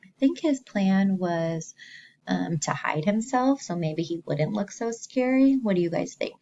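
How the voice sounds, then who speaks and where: A woman teacher reading a story to young children, expressively, pausing to explain simply and ask the listeners questions. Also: medium pitch, expressive, gravelly, mellow, dull audio.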